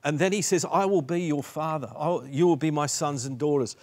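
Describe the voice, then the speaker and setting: A man in his sixties with an Australian accent, preaching a sermon earnestly with many restarts from a dark studio set. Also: a little high, brisk. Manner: earnest, gesturing, frequent restarts and repeated words. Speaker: pastor.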